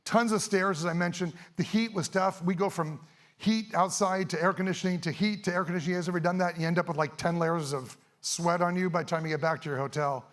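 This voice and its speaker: A middle-aged man, a little hoarse, giving a fast, energetic, casual talk to a live audience on an event stage. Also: high voice. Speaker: event speaker, sports photographer.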